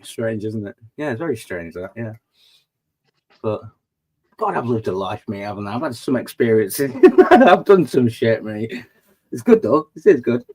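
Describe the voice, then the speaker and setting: A man's voice: medium pitch, big pitch swings, normal speed, long pauses, darkish tone, a little hiss or hum. A man, hosting a casual late-night chat, rambling and boasting and laughing at his own stories. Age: 40s. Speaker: host of a live chat panel.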